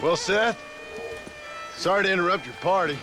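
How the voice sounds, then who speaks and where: An actor playing a stern, impatient middle-aged cowboy gunslinger in a western video game, gruff and terse, with an American Western drawl. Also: male voice, high-pitched, lively intonation, normal speed, music in the background. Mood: dry.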